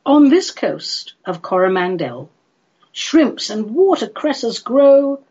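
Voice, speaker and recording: Woman playing a tiny lovestruck suitor, high-pitched, swooping, theatrical, storybook nonsense verse, dull recording. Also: big pitch swings, mellow, husky, full character voice. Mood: pleading, lovestruck. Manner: theatrical, pleading, drawn-out phrases. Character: lovelorn little male nonsense creature, earnest romantic suitor. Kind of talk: dramatic comic poetry recital.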